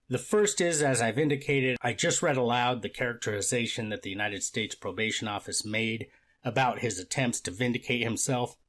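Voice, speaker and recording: A man playing a deliberate, fact-minded judge in a courtroom readers theater, speaking in a formal, measured and slightly halting way. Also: medium pitch, fairly bright tone, natural voice. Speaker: judge reading and questioning counsel.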